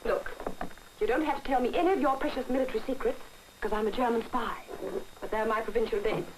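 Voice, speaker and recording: A woman's voice: a little high, fairly even intonation, fast, natural voice. An actress with a British accent playing a flirtatious, deceitful showgirl spy in 1940s film style, with theatrical, darling-laden speech. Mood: gushing, coaxing. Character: flirtatious stage performer and weary cocaine-addicted spy, deceitful woman. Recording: old film soundtrack with faint noise.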